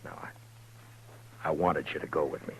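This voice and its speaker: An actor playing a steady, gruff frontier marshal in a 1950s radio drama, speaking tersely. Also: male voice, normal speed, in a whisper. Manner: terse, low-key delivery. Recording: dull old radio broadcast with faint hum.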